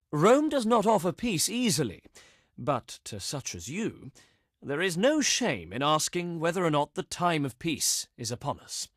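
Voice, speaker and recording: A man's voice: fairly high pitch, a bit bright, dry recording, studio quality. A man playing a proud, easily offended Roman envoy in a video game, with pompous, formal, oratorical delivery. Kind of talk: theatrical video game diplomacy voice lines.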